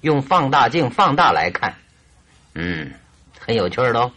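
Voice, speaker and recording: An actor playing a teasing, self-assured male detective in a Mandarin radio drama, with a husky voice. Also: mid-range voice, sing-song, unhurried, sharp tone. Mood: amused. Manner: chuckling, teasing, theatrical swings in delivery.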